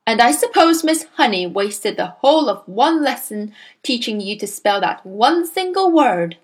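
A woman voicing a sarcastic, bullying headmistress who barks and sneers loudly. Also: on the high side, very animated, a little slow. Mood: scornful. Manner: barking, sneering, loud.

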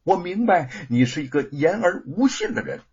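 A devoted husband who swings between passion and sly coldness, as a male narrator puts him on. The voice is gravelly and husky, with pitch ranging widely. The recording sounds dull and lo-fi. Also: huge pitch swings, normal speed, heavily acted. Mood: teasing. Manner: emotional, by turns sly and cold. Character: doting husband writer, impassioned and sly.

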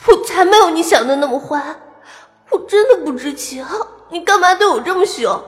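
A woman's voice: very high voice, animated, normal speed. A woman playing a proud, wilful young princess wounded in love in a Chinese historical audio drama. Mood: tearful, hurt. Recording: long reverb, faint music behind.

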